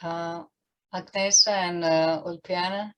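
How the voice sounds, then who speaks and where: A woman moderating an online panel discussion, speaking in hesitant, halting phrases. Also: lowish voice, fairly even intonation, sharp tone. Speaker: event moderator.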